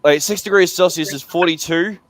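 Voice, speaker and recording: A man with a slightly high voice chats loosely in a dry, close setting, laughing and cursing as he goes. He stays relaxed and easygoing. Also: fairly quick, dry recording. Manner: loose, laughing, swears freely. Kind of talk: casual livestream chat.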